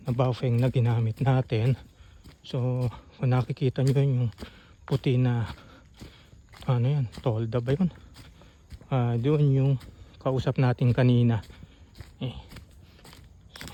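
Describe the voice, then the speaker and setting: A Filipino man narrating a casual outdoor walk-through in an informal, halting way, as if thinking aloud. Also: mid-range voice, dark tone. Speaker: vlogger. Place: outdoors on a rural farm trail. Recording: outdoor ambient noise.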